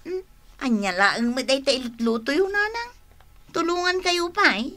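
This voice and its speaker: A woman's voice: high voice, normal speed, bright, forceful tone. A woman acting in a radio drama as a helpful, questioning younger woman of the family, with an Ilocano accent. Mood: puzzled, eager.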